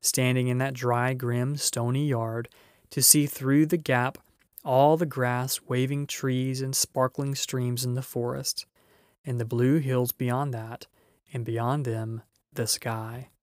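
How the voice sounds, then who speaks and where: A man's voice: mid-range voice, little pitch movement, takes it slowly, dry recording. Man reading a storybook, dry sound. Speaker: audiobook reader. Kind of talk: expressive children's storybook narration.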